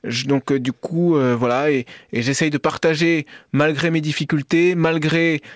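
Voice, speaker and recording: A man from Paris with a French accent, giving personal testimony as a radio guest, earnest and halting, often repeating words. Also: fairly high pitch, steady tone, dry recording, studio quality. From Paris, France. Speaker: radio guest, amateur trail runner. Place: radio studio. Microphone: studio microphone.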